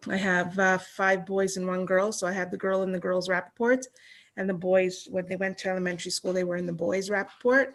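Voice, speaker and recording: A woman's voice: fairly low pitch, fairly even intonation, a little fast. A middle-aged Canadian woman chatting as an interview guest, rambling with many restarts and fillers. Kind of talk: casual online chat.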